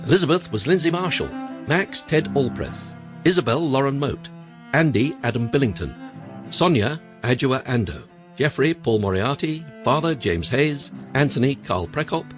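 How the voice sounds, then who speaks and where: A man reading the closing credits in a formal, measured way as radio announcer. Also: mid-range voice, lively intonation. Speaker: continuity announcer. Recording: over music.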